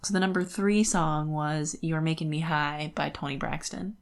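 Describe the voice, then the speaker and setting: A woman's voice: low-pitched, normal speed. A young adult woman co-hosting a podcast, chatting casually about books. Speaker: podcast co-host. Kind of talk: casual book discussion chat.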